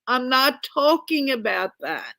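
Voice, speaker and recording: A woman's voice: high-pitched, sing-song, measured pace, vocal fry, bright, forceful tone, no room sound. A woman hosting an online English conversation show, speaking in a firm, instructive way on a video call. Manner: firm, instructive, reminds guests to mute. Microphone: computer mic on a video call.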